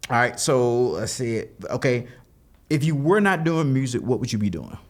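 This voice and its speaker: Brief: male host, expressive, swooping intonation, laughs a lot, easygoing Q&A. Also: medium pitch. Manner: laughs often, quick questions, playful asides. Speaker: podcast host. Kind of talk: casual interview.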